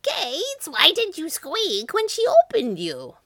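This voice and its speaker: A woman voicing a cruel old witch with a shrill, croaking voice, hollering in a storybook tale. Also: slow pace, sharp tone, dry recording. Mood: enraged. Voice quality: shrill, croaking, high-pitched. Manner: hollering and screaming demands. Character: enraged cackling old witch, cruel and screeching.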